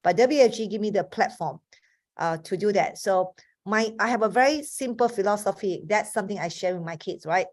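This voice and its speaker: A woman in her fifties with a Malaysian accent talks earnestly on a video call. Chinese is her first language, and she often restarts her sentences. Her pitch sits mid-range for a woman. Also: brisk. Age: 50s. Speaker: webinar speaker. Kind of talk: motivational talk.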